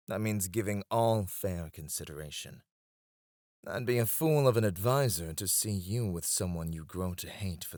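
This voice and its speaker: A man's voice: sits low, dry recording, studio quality. A man playing a devoted, teasing royal advisor in a romantic ASMR roleplay, speaking in a formal, courtly way. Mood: earnest, advising.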